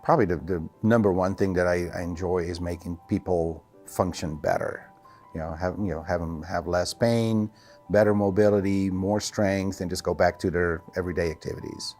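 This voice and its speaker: Middle aged dutch guy w/ dutch accent, lowish voice, chatting in an interview, bit of music behind. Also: fairly even intonation, normal speed, darkish tone. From Netherlands. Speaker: physical therapist and clinic owner. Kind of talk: casual interview answers.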